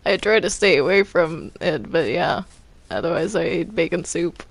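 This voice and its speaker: A woman's voice: on the low side, expressive, moderate pace, creaky, no room sound. A woman chatting casually in character during gameplay, exclamatory and prone to complaining. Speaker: gamer in roleplay video.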